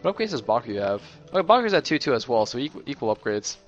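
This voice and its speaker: A hoarse young man doing solo play-by-play commentary on a game, chatty and rambling, jumping into excited bursts. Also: medium pitch, quick pace, highs cut off. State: losing his voice, a little sick. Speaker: esports caster. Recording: music playing underneath.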